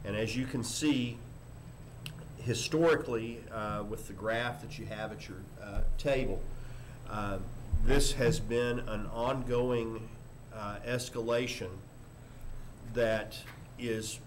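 An older man, a school superintendent, giving a formal report at a board meeting in a halting, measured way with frequent pauses. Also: medium pitch. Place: indoor board meeting room. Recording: noisy meeting room microphone.

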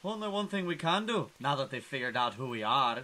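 A man playing a tough gangster posing as a cop, barking orders gruffly in an old-time radio drama. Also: mid-range voice, big pitch swings, fairly quick, sharp tone. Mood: menacing.

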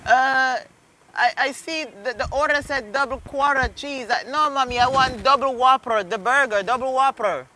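A man's voice: extremely high pitch, moderate pace, sharp tone, pitched above the performer's own voice, exaggerated voice, rough recording. A man in his twenties playing a polite, confused customer hesitantly ordering at a drive-thru. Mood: confused, insistent. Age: 20s. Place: inside a car at a drive-thru, at night. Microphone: camera from the passenger seat. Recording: faint noise inside a car.

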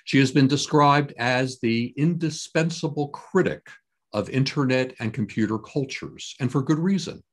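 A man in his sixties giving a formal, scripted opening address as a conference host, with measured, deliberate phrasing and a husky voice. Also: mid-range voice. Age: 60s. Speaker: conference host introducing a keynote speaker. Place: home office with bookshelves, indoors. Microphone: computer webcam at desk distance, video call.

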